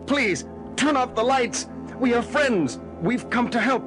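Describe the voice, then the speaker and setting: An actor playing an earnest, wary moon base commander, terse and direct, in an audio drama. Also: male voice, extremely high pitch, expressive, a little slow. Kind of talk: 1970s audio drama acting. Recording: music behind the voice.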